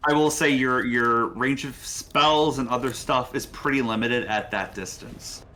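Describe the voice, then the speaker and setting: A man narrating a tabletop role-playing game as game master, pausing hesitantly mid-sentence. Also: medium pitch, fairly even intonation, sharp tone. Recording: faint background music.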